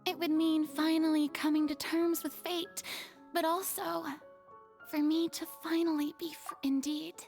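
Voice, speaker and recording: A woman's voice: very high voice, level tone, measured pace, a little mellow. An actress playing a proud young female god in a video game, pompous and dramatic, with formal phrasing and a weary side. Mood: weary, resigned. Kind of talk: scripted video game acting. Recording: background music under the voice.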